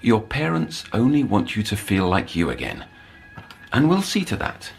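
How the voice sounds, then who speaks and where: A formal, coaxing counsellor for troubled children in an audio drama. His low voice rises and dips widely as he probes politely and precisely. Soft music sits behind him. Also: huge pitch swings, moderate pace, vocal fry, heavily acted. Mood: probing, solicitous. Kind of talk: scripted audio drama acting.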